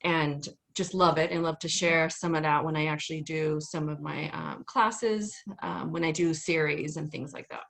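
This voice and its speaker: Brief: a woman dance instructor with a lowish voice, casual and unhurried, lots of fillers. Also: gravelly. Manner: relaxed, hesitant with many fillers. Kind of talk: casual podcast interview.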